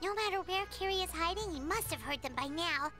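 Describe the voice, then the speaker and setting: A woman voicing a small, excitable female sprite companion in a chirpy, eager way. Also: extremely high pitch, brisk, over music.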